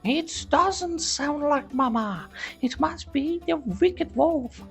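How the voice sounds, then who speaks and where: A woman voicing three tiny, wary goat kids in a storybook reading, with squeaky, shrill exclaiming. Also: animated, slow, faint music behind, exaggerated voice. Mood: defiant.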